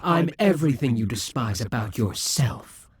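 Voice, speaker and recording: A man's voice: medium pitch, animated, moderate pace, breathy edge, no room sound, studio quality. A man voicing a proud, possessive male demon king who taunts and schemes, sometimes dropping to a whisper. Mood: taunting. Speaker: voice actor in an audio roleplay. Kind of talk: audio roleplay acting.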